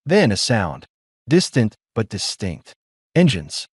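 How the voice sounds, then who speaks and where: A man narrating a science fiction audiobook in an expressive storytelling manner. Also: on the low side, a little slow, no echo, studio quality.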